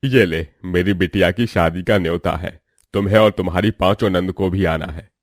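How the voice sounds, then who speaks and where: पुरुष के अभिनय में गाँव का रौबदार सरपंच, गहरी आवाज़. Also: male voice, low voice, normal speed, dry recording, clean studio sound. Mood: authoritative. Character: proud middle-aged village headman, gruff, easily incited. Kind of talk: Hindi family moral-story acting.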